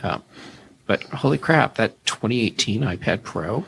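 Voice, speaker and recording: A man's voice: lowish voice, lively intonation, a little slow, vocal fry, fairly bright tone, slightly rough. A man speaking as a podcast guest in casual tech chat, conversational and emphatic.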